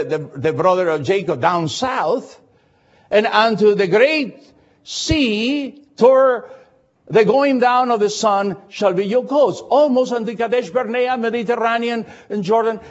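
Older man, foreign accent, husky high-pitched voice, animated swooping delivery, Baptist sermon, emphatic repeated phrases. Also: dull audio. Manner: emphatic repetition of short phrases. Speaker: Baptist pastor preaching. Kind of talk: expository sermon with personal anecdotes.

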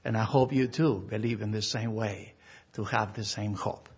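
A middle-aged man from Argentina, a pastor giving a memorial service address, halting with frequent fillers. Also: lowish voice, steady tone, slightly rough, highs cut off.

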